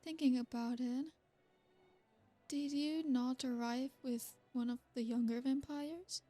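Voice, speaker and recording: A woman voicing an ancient, aristocratic female vampire in an ASMR roleplay. She speaks in a soft, formal, flattering way. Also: sits high, slow, faint music behind. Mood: flirtatious.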